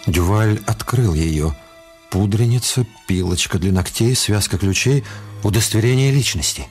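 A male narrator tells a radio drama in a measured, literary way. His voice is on the low side, with music clearly audible behind it. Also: darkish tone.

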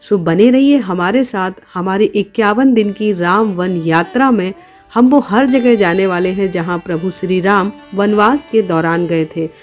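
A woman's voice: medium pitch, measured pace, faint music behind. A woman narrates a travel vlog, devotional in manner and speaking straight to viewers.

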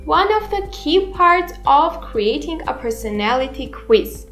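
High-voiced young woman presenting a tutorial. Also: fairly bright tone. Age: 20s. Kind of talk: scripted software tutorial narration. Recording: roomy echo, music underneath. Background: background music.

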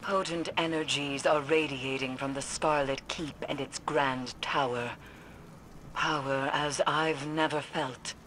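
Brief: a solemn game mission giver, measured and ominous, with a bright, forceful tone over faint game ambience. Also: male voice, medium pitch, a little hiss or hum. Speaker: game character briefing the player. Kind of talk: scripted game dialogue.

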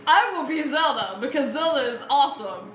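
A woman's voice: sits high, expressive, a little fast, a bit bright. A woman chatting casually and playfully with a co-player during a recorded let's play. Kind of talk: casual gameplay chat with a co-player. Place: indoor room, filming a TV screen. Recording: echoey room with game music. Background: video game music.

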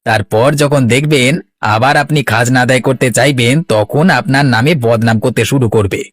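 A man plays a scheming steward in a cartoon. He fawns and persuades in a middle-pitched voice, greedy and insinuating beneath the deference. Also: steady tone, normal speed, a bit bright, natural voice. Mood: fawning, persuasive. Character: scheming, fawning male estate steward, greedy. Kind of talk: Bangla cartoon voice acting.

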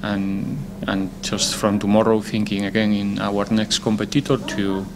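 A man, a football head coach, answering press conference questions in a measured, deliberate way, often pausing to find his words. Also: lowish voice, little pitch movement, noisy background.

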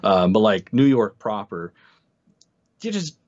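Low-voiced, blunt American man chatting. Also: big pitch swings, slow, a little mellow, muffled recording. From Ogden, Utah, United States. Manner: blunt, heavy casual swearing, slangy. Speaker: guest co-reader. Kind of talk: casual chat.

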